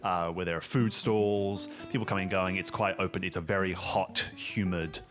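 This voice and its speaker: A man with a low voice speaks as a game master in a casual, improvised way. He is halting but emphatic, working out his thoughts as he goes, with some music behind him. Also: steady tone. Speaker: show creator and game master.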